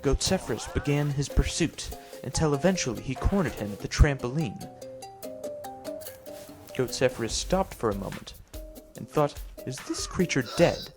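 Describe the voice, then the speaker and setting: A man improvising a mock-storybook narration over gameplay in a mock-serious, deadpan way. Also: medium pitch, normal speed. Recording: music behind the voice. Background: clear background music.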